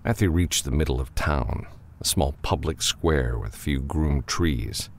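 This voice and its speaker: A man narrating a science fiction novel as an audiobook, reading scripted prose in a gravelly voice. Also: extremely low pitch, unhurried, a little hiss or hum.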